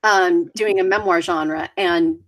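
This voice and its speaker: A woman's voice: on the low side, steady tone, slow. A woman co-hosting a casual chat in a playful way, joking and teasing. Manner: playful, slips into mock accents. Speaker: co-host.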